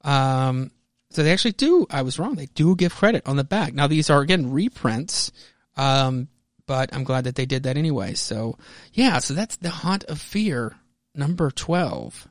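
A man hosting a solo podcast in a casual, rambling, halting way, often correcting himself as he goes. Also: medium pitch, lively intonation, no echo, clean studio sound. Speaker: podcast host.

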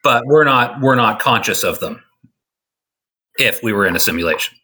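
A man's voice: mid-range voice, lively intonation, normal speed, bright, forceful tone, slightly rough. A man in a casual podcast discussion, explaining his ideas at length with some restarts. He is losing his voice.

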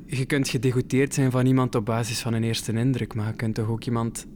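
An actor playing an awkward, apologetic young airline passenger in a radio drama, speaking halting, informal Dutch with a Flemish accent. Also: male voice, mid-range voice, flat delivery, darkish tone, natural voice. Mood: earnest. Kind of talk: naturalistic radio drama dialogue. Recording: clear background noise.

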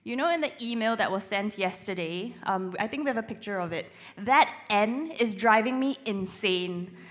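A woman in her twenties, originally from Singapore, speaks to a church congregation. She tells her stories with teasing, self-mocking humor, pitched in a middle range for a woman. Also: normal speed, fairly bright tone. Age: 20s. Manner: playful, self-mocking storytelling. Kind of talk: humorous sermon talk.

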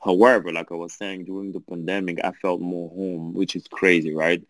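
A man's voice: low-pitched, level tone, moderate pace. A man from Belgium and France with a French accent, chatting casually and jokingly as a podcast guest. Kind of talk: casual chat.

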